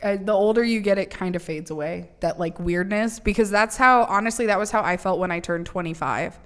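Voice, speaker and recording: A woman's voice: mid-range voice, fairly quick. A woman in her late twenties co-hosting a podcast, chatting casually with a teasing, big-sisterly manner and free swearing. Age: 20s. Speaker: podcast co-host. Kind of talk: casual chat.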